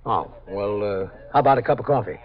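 A man with an American accent playing a weary, steady police captain in an understated 1950s radio drama. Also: on the low side, a little fast, natural voice. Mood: casual, attentive. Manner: matter-of-fact, understated. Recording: muffled 1950s radio recording.

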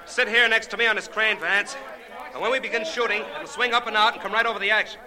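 An actor playing a brisk, exasperated film director in a 1940s radio drama, barking commands with sarcasm. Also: male voice, high-pitched, steady tone, over a noisy crowd, lightly acted. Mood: explaining, brisk. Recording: reverberant old 1940s radio recording.

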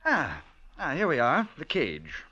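Genteel sinister patriarch, swooping pitch. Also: male voice, huge pitch swings, measured pace, bright, forceful tone, breathy edge, exaggerated voice. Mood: politely evasive. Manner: hesitant, hemming, politely bland and precise. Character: genteel, evasive wealthy patriarch with a sinister calm. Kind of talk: 1940s radio drama acting. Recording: muffled vintage radio recording.

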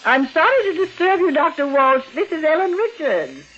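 A woman's voice: high-pitched, big pitch swings, moderate pace, fairly bright tone. An obsessive, guarded aunt who hides murder behind composure. Her intonation swings widely in the manner of 1940s radio drama. The vintage recording is dull and muffled. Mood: feigned cheerfulness. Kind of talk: 1940s radio mystery acting.

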